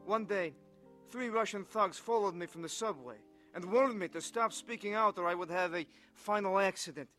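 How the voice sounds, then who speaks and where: A man's voice: high voice, normal speed, pushed and bright, soft background music. An actor playing an earnest, haunted young Russian defector who tells his story in a dramatized testimony. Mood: earnest conviction. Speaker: first-person narrator of a dramatized testimony. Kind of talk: dramatized scripted narration.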